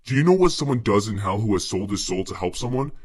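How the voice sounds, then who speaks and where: Man putting on a low, sinister bargaining demon. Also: lively intonation, slightly rough. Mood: gloating. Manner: slow, menacing, theatrical. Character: sinister male demon, gloating, smooth-talking bargainer.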